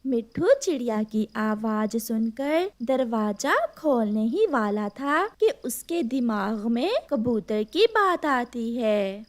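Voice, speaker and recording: A woman narrates a cartoon story in a scripted storybook style. Her voice is fairly high. She takes it very slowly, line by line. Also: expressive.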